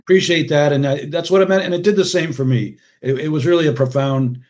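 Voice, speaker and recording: A man's voice: fairly high pitch, fairly even intonation, fast, darkish tone. Older American man, casual and anecdotal. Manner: conversational, anecdotal, often quoting others. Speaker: podcast guest, retired radiologist and author. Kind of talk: casual reminiscing in an interview.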